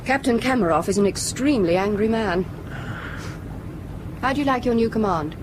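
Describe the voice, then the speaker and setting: An actress playing a calm, inquisitive woman on a ship's bridge, talking conversationally in a radio drama. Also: a little high, moderate pace, noisy background, close to an everyday voice. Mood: wry, gentle. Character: calm, questioning woman serving coffee aboard a ship.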